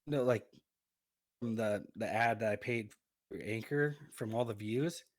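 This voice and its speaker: A man's voice: fairly low pitch, measured pace, no room sound. A man who narrates stories, chatting as a podcast guest in a rambling, self-mocking way. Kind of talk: casual podcast chat.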